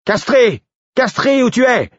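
A man's voice: medium pitch, big pitch swings, fairly quick, husky, no room sound, voice pushed up. A man voicing an exasperated young prison guard, firm and rattled, on a dull lo-fi recording. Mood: bellowing, exasperated.

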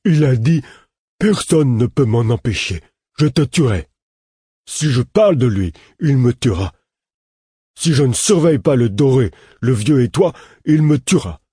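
Give me a childlike, frightened little man, voiced by a male narrator, shrill and strained with fear, in a close, dry audiobook read with a slightly husky edge. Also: lively intonation, measured pace, dark tone, dry recording. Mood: terrified. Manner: high, strained, terrified pleading. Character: frightened simple-minded small man, childlike.

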